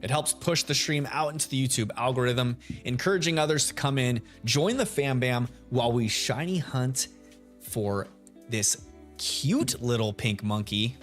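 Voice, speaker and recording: A man's voice: expressive, moderate pace. A man hosting a live game stream, chatting casually with viewers and greeting them by name. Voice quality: deep radio-style voice. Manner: laid-back, chatty, greets chat members by name. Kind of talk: live stream chat while shiny hunting. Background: soft lo-fi music.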